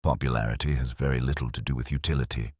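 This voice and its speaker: A man playing a wry, analytical police inspector who speaks deliberately in a mystery story. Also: very deep voice, fairly even intonation, husky, dry recording, clean studio sound, pitched below the performer's own voice. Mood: wry, measured.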